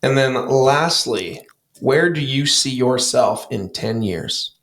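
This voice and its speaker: A man in his late twenties hosting a podcast, asking questions in a playful, relaxed way. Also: medium pitch, slow pace. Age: 20s. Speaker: podcast host. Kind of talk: casual interview chat.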